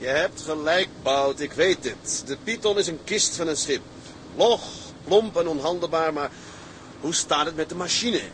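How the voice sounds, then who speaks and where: A man's voice: fairly high pitch, moderate pace, a bit bright, slight background noise, natural voice. A man playing a genial, commanding tugboat captain in a radio drama, hearty and comradely. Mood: wry, questioning. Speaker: actor playing a ship's captain. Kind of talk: Dutch radio drama acting.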